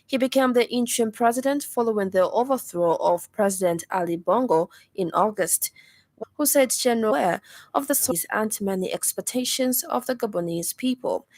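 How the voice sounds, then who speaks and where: A woman's voice: fairly high pitch, lively intonation, normal speed, a little mellow, no room sound. A woman with a Zambian accent reading a formal scripted radio news bulletin. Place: radio studio.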